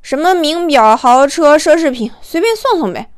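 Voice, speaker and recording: A woman's voice: high-pitched, sing-song, moderate pace, dry recording. Woman acting male best friend, blunt, resigned, dismissive, matter-of-fact, audiobook romance, dry sound.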